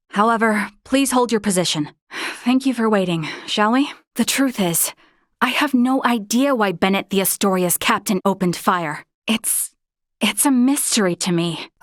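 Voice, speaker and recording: A woman's voice: mid-range voice, no echo, studio quality, close to an everyday voice. A woman voicing an earnest, principled young female first officer of a starship in a video game, with formal, precise diction. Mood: earnest, explanatory.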